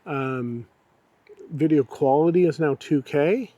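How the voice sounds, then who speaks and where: A man's voice: fairly high pitch, takes it slowly, mellow, no echo, dull audio. A man talking casually and without a script as he reviews a product, in a conversational, rambling and somewhat hesitant way. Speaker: product reviewer and video creator.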